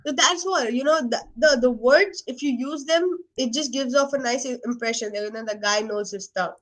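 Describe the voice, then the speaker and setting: A young woman with an Indian accent, chatting earnestly and lively, often saying "you know". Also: high voice, normal speed. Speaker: guest. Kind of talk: casual video chat.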